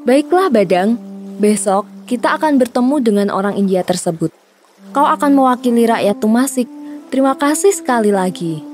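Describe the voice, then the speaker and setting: A woman's voice: on the high side, lively intonation, normal speed, darkish tone, faint music behind, close to an everyday voice. A woman playing a gracious, formal princess in a children's folktale drama. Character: gracious, worried young princess ruling her land.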